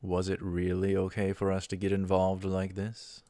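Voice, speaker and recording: A man's voice: low voice, monotone, normal speed, mellow. A man hosting a let's play, reading a visual novel's narration aloud and adding brief asides. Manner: stumbles over lines and corrects himself.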